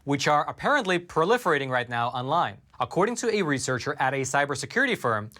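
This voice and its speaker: A man hosting a news commentary show from a studio, delivering a scripted report and reading quotes aloud in a measured way. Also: fairly high pitch, big pitch swings, pushed and bright.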